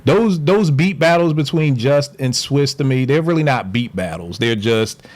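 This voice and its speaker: A man's voice: medium pitch, expressive, normal speed, darkish tone. A passionate, opinionated man holding forth as a podcast guest, his voice swinging widely up and down as he rants. He talks in casual, slangy speech and never backs down.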